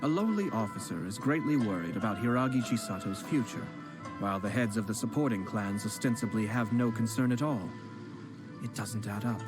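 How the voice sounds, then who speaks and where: A man voices a composed young nobleman in a video game, courteous and shrewd. He talks in a measured, formal way in a fairly low voice, with game music clearly audible behind him. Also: close to an everyday voice.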